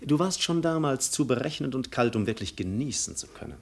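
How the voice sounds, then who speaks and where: An actor playing a suave, self-assured adventurer who poses as a prince, ironic and patronizing, in 1950s German radio drama style. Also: male voice, mid-range voice, animated, brisk, gravelly.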